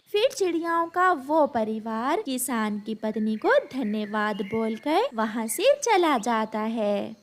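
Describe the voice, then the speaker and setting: Slow-paced woman narrating a children's story. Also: a little high. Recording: clear background noise.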